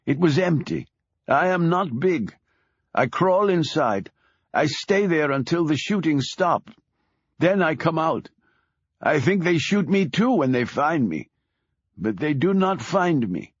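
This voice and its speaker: A man voicing a timid, tearful Polish immigrant man who speaks halting broken English with a Polish accent, in an audiobook. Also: on the high side, expressive, husky, no echo, muffled recording, voice pushed up, exaggerated voice. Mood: shaken.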